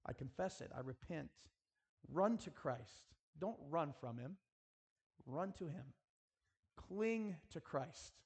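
A man's voice: a little high, animated, slow pace, a little mellow, slightly rough. A man preaching earnestly in a school chapel style, exhorting his listeners and addressing them directly. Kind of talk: sermon with rhetorical questions and prayer.

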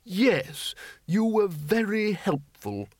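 A man voicing a mild, polite undead wizard in a storybook, with a gentle, hesitant, courteous manner. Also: high voice, very animated, slow pace, slightly rough, no echo, pitched above the performer's own voice, heavily acted. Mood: mild, apologetic.

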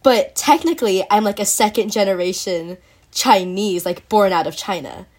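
A woman's voice: mid-range voice, normal speed, darkish tone. A teenage girl hosting a casual podcast chat, talking in a chatty way with many fillers and frequent laughs. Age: teens. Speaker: podcast host.

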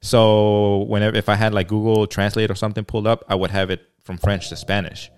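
Male podcast host from Mexico, deep and smooth, unaccented English, unhurried and halting. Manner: relaxed, hesitant, many fillers and restarts. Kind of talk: casual chat.

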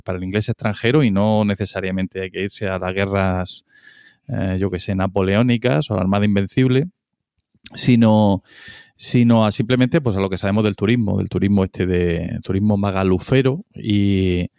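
Host, male, from Spain, lowish smooth voice, halting delivery, dry close mic. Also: fairly even intonation, a little mellow, no echo. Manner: hesitant, many fillers and restarts. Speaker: podcast host. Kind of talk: casual discussion.

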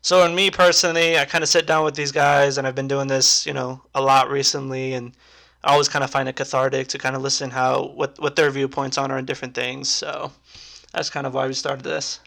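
A young man in his twenties hosting a casual podcast with friends, talking in a relaxed, rambling way with lots of "kinda" and fillers. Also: medium pitch, fairly quick, creaky. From United States. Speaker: podcast host.

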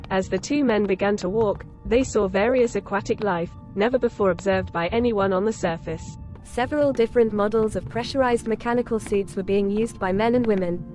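Woman, scripted story narration, measured delivery, mid-range voice, clear music bed. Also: medium pitch, a little mellow. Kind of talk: scripted audio drama narration.

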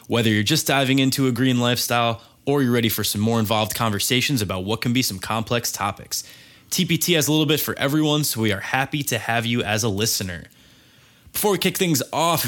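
A man hosts a relaxed weekly podcast in an upbeat, chatty way, his voice sitting in an ordinary middle range. He sounds a little stuffed up from a cold. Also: mid-range voice. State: congested from a cold. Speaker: podcast host. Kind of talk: casual podcast chat.

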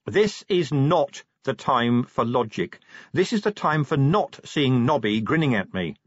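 Man as a bossy woman sergeant, werewolf, curt, exasperated, raised pitch, audiobook, slightly muffled recording. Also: animated, a little slow, breathy edge, dry recording. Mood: snappish. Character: snappish, commanding female werewolf police sergeant. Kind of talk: audiobook character voice.

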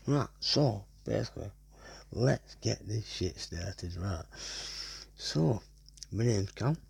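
Wigan guy in his 30s, slow gravelly drawl, swears loads, dry close sound. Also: fairly low pitch, lively intonation, a little mellow, no room sound. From North West England. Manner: swears a lot and keeps tagging "right?". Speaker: podcast host. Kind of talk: casual monologue.

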